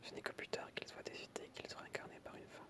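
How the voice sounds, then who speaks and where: An ASMR creator whispering in French, reading aloud softly with informal, hesitant asides. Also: fast talker.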